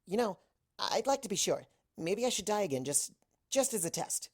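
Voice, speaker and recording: A woman's voice: medium pitch, very animated, normal speed, a little mellow, breathy edge, pitched above the performer's own voice. A woman voicing an earnest, bookish, anxious young mare in a storybook reading, stammering at times. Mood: musing.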